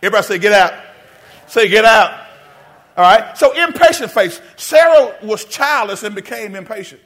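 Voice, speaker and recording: A man's voice: high-pitched, fairly bright tone. A man preaching a sermon in a church. He calls out questions to the congregation and talks to them in a folksy way. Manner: call-and-response preaching, asks the congregation questions; folksy, addresses listeners as "y'all" and "baby". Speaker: pastor.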